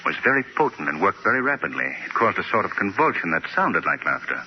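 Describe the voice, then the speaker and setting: A man's voice: sits low, big pitch swings. An actor playing a composed, suave male crime-fighter, authoritative and articulate in 1940s radio drama style. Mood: relaxed, amused. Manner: authoritative, articulate, measured wording. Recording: muffled 1940 radio broadcast with noise.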